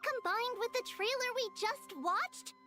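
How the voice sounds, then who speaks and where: A woman's voice: extremely high pitch, a little slow, bright, forceful tone, heavily acted. A woman voicing a cheerful, cute little childlike guardian girl for a video game, in a bubbly, sing-song manner. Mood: excited, friendly. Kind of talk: video game character performance. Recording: music playing behind the voice.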